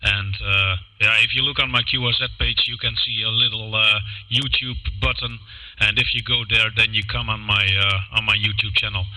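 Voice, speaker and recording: A man's voice: on the low side, flat delivery, moderate pace, sharp tone, poor audio. A man whose first language is Dutch, speaking English hesitantly with many fillers as an amateur radio operator. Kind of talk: ham radio contact.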